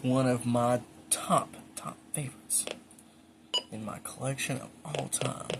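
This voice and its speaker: A man hosting a casual home show-and-tell video, speaking informally and a little haltingly with enthusiastic asides. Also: medium pitch, steady tone, gravelly, very noisy. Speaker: hobbyist video creator and host. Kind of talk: casual show-and-tell narration of a radio collection. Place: indoors at home.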